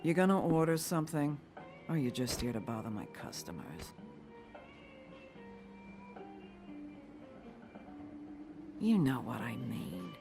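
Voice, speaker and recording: A guarded woman tending bar in a cinematic video game. Her voice is low and very animated in pitch, curt and defiant as she deflects. Music sits behind her. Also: moderate pace, creaky, heavily acted. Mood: irritated. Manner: curt, evasive.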